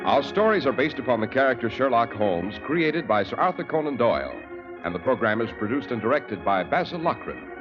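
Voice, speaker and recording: A man announcing in the formal, clear style of a 1940s radio show. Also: medium pitch, big pitch swings, fairly quick. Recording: dull old radio broadcast recording with organ music.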